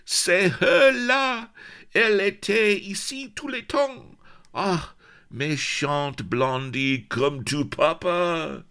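A man's voice: mid-range voice, sing-song, measured pace, vocal fry, fairly bright tone, voice pushed up, full character voice. A man voicing a burly, gloating Breton pirate with a French accent, bawling mangled English mixed with French.

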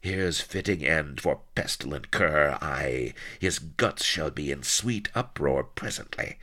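A man voicing a spiteful villainous woman in an audiobook, hushed, gloating and sneering. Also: very deep voice, expressive, takes it slowly, exaggerated voice. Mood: gloating menace.

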